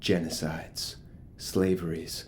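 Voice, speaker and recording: An actor playing a charismatic, ominous cult leader in a horror audio drama, preaching grandiosely with rhetorical pauses. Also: male voice, sits low, flat delivery, darkish tone, a little hiss or hum. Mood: fervent, grandiose. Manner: theatrical, grandiose, pausing for rhetorical effect.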